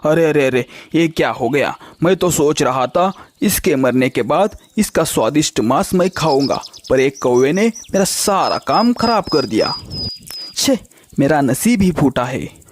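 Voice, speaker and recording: A man plays a sly, greedy kite bird from a kids' cartoon. The voice sits fairly high. He exclaims and repeats himself, a false friend through and through. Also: animated, measured pace, slight background noise. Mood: dismayed, sulky. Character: deceitful scheming greedy male kite bird. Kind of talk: children's cartoon story acting.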